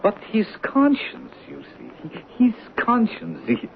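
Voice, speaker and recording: Excitable, evasive lunch-counter guy, lively pitch. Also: slow pace, vocal fry, heavily acted. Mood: defensive, persuading. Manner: emphatic, fumbling, repetitive. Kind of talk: 1970s radio drama acting. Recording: narrow, tinny old radio recording, faint noise.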